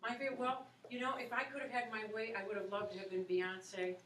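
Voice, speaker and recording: Chatty woman in her 40s, bright voice, recorded from far off in an echoey school hall. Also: on the high side, little pitch movement, fast talker. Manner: chatty, encouraging, many "you know"s. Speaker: opera singer and voice teacher, guest speaker. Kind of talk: casual talk and Q&A with students. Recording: echoey room, far from mic, faint hiss.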